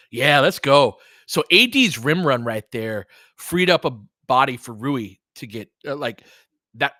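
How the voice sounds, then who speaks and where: Casting: American male sports streamer, bright forceful voice, close dry sound, informal play-by-play mixed with chat. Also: medium pitch, no room sound. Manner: conversational, reacting to chat comments. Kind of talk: casual live sports commentary and chat.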